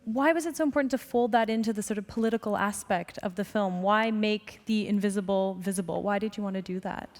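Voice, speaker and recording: A woman's voice: medium pitch, fast, few pauses, darkish tone. A woman moderating a festival film Q&A, who frames her questions in an articulate, reflective way. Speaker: panel moderator. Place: festival stage in a large hall. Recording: long reverb of a large hall.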